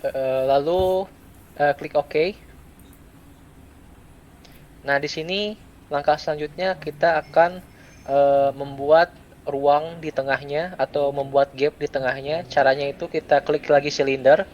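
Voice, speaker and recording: A young adult man gives a step-by-step software tutorial, speaking in short, halting phrases with pauses. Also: a little high, steady tone, highs cut off. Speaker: student presenter giving a software tutorial. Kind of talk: step-by-step screen tutorial, unscripted. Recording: clear background noise.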